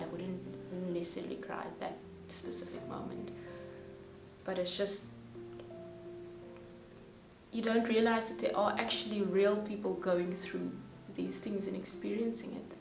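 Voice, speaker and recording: A woman's voice: medium pitch, fairly even intonation, moderate pace, gravelly. A young woman, sharing heartfelt, hesitant personal reflections. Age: young adult. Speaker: young reader reacting to a story. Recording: roomy, distant, with music behind.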